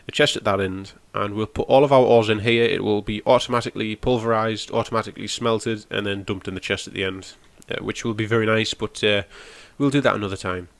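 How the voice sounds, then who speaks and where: Brief: young gaming host, stuffy nasal cold, lowish voice, dry close recording, rambling walkthrough. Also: male voice, no room sound. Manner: rambling, explains as he goes, frequent ums. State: has a cold, nasal and snotty. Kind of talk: casual gameplay walkthrough.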